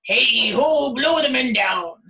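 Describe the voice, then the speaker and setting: A woman voicing rowdy pirate crewmen from a storybook with loud, stretched-out cries. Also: a little high, lively intonation, slow pace, creaky, roomy sound, pitched above the performer's own voice, heavily acted. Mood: rowdy, excited. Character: rowdy crew of pirate men yelling in chorus. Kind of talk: storybook character voice.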